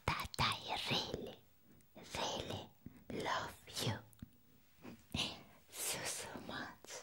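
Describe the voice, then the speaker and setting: Woman as a whispering, slow, sweet female vampire. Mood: loving, wistful. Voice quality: breathy whisper. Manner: slow, tender, repeating words softly. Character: sweet affectionate female vampire, doting and gentle. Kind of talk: ASMR roleplay.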